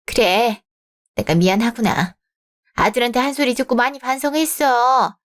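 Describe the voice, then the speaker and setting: A pushy middle-aged mother-in-law-to-be, voiced by a woman in a radio drama, with a raised, higher-pitched voice. She is blunt and patronizing, a mother who looks down on her son's bride. Her apologies come out stiff. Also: moderate pace, natural voice. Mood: apologetic.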